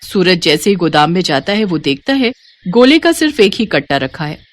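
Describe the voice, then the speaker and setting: A woman's voice: on the low side, very animated, normal speed. A woman reading a scripted devotional moral story as its narrator.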